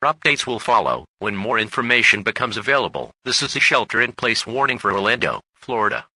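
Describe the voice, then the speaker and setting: Male announcer for emergency bulletins. Very forceful and crisp-edged, formal, impersonal, with dry close sound. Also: fairly low pitch, expressive, fairly quick, no echo, low-quality recording. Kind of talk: scripted emergency broadcast announcement.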